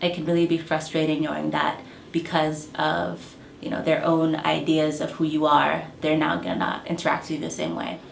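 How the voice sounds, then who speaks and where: Low, gravelly-toned woman in an echoey room. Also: level tone, slight background noise. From Kenya. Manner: thoughtful, measured, with frequent um and uh. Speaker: interviewee. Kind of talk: reflective interview answers.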